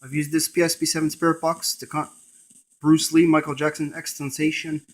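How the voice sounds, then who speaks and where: A man's voice: a little high, level tone, fairly quick. A man presenting a casual hands-on gadget demonstration, narrating each step in short fragments.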